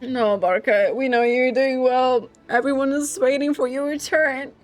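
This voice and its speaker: A woman streamer giving casual, hushed, awed live reactions while playing a game. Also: sits high, steady tone, measured pace, faint music behind. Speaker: gaming streamer.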